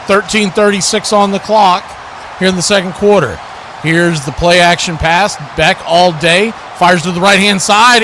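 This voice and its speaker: A man doing live play-by-play football commentary for a stream, in clipped phrases that grow excited on big plays. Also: high voice, slight background noise.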